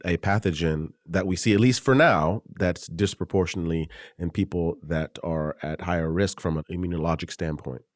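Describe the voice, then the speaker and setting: A man hosting a podcast interview, asking measured, thoughtful questions. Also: deep voice, no room sound, studio quality. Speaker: podcast host.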